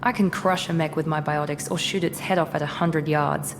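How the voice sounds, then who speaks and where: A woman's voice: low voice, fairly even intonation, brisk. An actress voicing a guarded female Cerberus operative in a video game, speaking in a curt, aloof manner. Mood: cool. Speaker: game character. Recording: game audio with long reverb and clear hiss.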